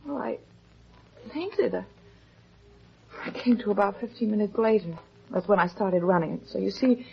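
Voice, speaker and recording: An actress playing a frightened, defensive young murder suspect in a 1950s radio melodrama, halting and pleading. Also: female voice, medium pitch, vocal fry, close to an everyday voice. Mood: shaken. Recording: dull, muffled vintage 1950s radio recording.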